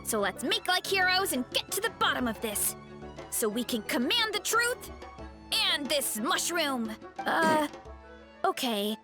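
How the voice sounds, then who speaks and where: A woman's voice: high-pitched, very animated, measured pace, over music. A woman playing a sweet, earnest, easygoing young warrior girl in a video game.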